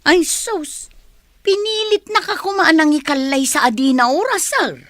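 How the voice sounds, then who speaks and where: A woman's voice: sits high, expressive, unhurried, a bit bright, no room sound. A woman playing a flustered, giggly housemaid with a teasing manner in a radio drama. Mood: curious, teasing. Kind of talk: Philippine radio drama acting.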